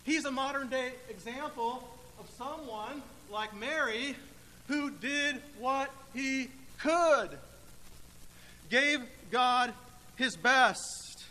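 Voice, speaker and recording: A man delivers a sermon in a bright, forceful voice pitched high for a male speaker. He phrases deliberately and emphatically, leaving dramatic pauses. The church hall adds long reverb, with faint noise underneath. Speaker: preacher. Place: church sanctuary, indoors.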